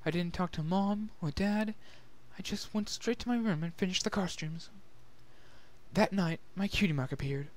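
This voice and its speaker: A man voicing a refined young female pony who tells of a sorrowful past in a soft, measured, earnest way, in a dramatic story reading. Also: high-pitched, pitched above the performer's own voice, natural voice. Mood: somber, earnest. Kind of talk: dramatic fan-fiction reading.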